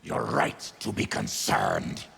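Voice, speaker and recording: A man voicing a feral, diseased monster warrior in a video game, with a gravelly rasp and a grave, menacing, formal manner. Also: slow, a bit bright. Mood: grim. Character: feral, diseased monster warrior, a proud brooding former tribune. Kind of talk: video game character acting.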